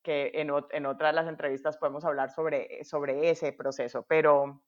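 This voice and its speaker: A woman hosts a casual interview in a lowish voice, stopping and restarting her sentences often and dropping English words into her speech. The sound is dull and lo-fi. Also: level tone. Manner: halting, many restarts, mixes English words. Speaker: podcast host. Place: lives in Dallas, United States.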